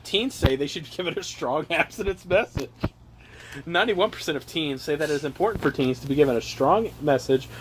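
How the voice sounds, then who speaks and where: A young man with a fairly high voice reads aloud in a mock-earnest way and drops into joking, sweary chat. The recording is amateur, with some noise around him. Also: a little fast. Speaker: reader among his brothers. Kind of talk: joking read-aloud with casual asides. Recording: noisy amateur home recording.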